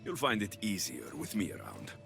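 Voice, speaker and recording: A battle-hardened ancient mercenary for a video game, sardonic and sure of himself. The voice sits fairly low but swings hugely in pitch. Loud music plays underneath. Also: male voice, sing-song, normal speed. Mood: curt, sardonic. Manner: curt, sardonic, confident. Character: cocky, battle-hardened Greek mercenary warrior, a man. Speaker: video game lead character. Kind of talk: acted game dialogue.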